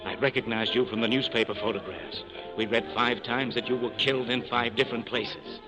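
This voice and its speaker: An actor playing a furtive, polite underground contact in 1940s radio style, deferential and discreet. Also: male voice, medium pitch, steady tone, fairly quick, natural voice, rough recording. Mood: discreet, eager. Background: music.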